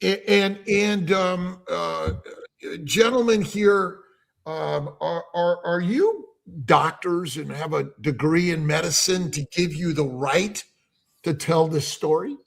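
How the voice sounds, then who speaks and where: A man in his seventies hosting a casual panel chat as a doctor, talking in halting runs with many restarts. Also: a little high, very animated, vocal fry, fairly bright tone. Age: 70s. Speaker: host, doctor.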